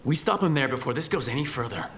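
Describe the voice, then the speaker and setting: An actor playing an alert, decisive adventurer who leads his companions in a video game, brisk and determined. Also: mid-range voice, lively intonation, heavy background noise, lightly acted. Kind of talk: dramatic video game acting.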